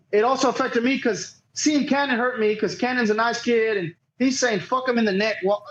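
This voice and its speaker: Through dull, lo-fi audio comes a man with a notably high voice. He talks as an interview guest, rambling casually through a story. His speech is peppered with swearing and quoted remarks. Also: fairly bright tone. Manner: profane, rambling, quotes others. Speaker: podcast guest, cornhole player.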